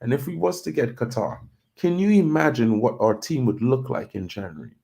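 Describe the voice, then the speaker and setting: A man's voice: medium pitch, animated, moderate pace, gravelly, mellow, slightly rough. A man from Trinidad and Tobago hosting a casual football news show, chatty and loose, thinking aloud with plenty of "you know".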